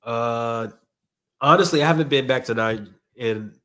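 Relaxed young Nigerian man chatting. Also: mid-range voice, a little slow. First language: Yoruba. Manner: relaxed, candid, repeats phrases. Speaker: podcast guest. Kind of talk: casual chat, reading viewer comments. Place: studio set. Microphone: studio mic on stand.

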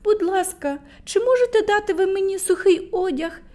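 A woman's voice: extremely high pitch, normal speed, mellow, pitched above the performer's own voice. A woman voicing a cold, rain-soaked young princess politely asking for shelter in a storybook tale. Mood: pleading.

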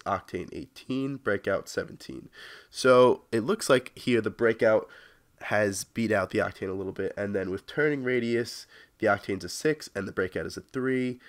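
A young adult man hosting a gaming video with casual gameplay commentary, sounding congested and sick with a cold. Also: on the low side.

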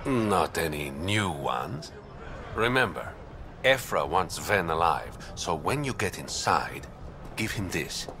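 Game smuggler, male: very low, bright voice, roguish and confident, faint ambient noise behind. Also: very animated, slow pace, full character voice. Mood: teasing, confident. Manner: smooth, sly, teasing, confident. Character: suave, roguish adult male smuggler and informant. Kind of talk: video game dialogue acting.

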